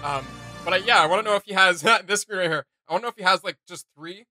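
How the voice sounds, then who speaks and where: Guy doing chatty reaction commentary, really bright punchy voice, kinda high, music behind. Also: fast talker, no room sound, studio quality. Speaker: host of a reaction channel. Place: small home gaming room, indoors.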